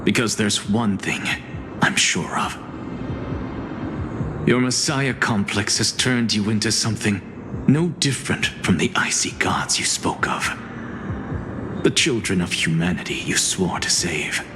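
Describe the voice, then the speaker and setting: A man voicing a solemn, reproachful game character. His voice is fairly low and his delivery slow and deliberate, with music playing clearly behind. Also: long reverb tail, noisy background, lightly acted. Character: grave, reproachful man, calmly challenging a fallen savior. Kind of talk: dramatic video game acting.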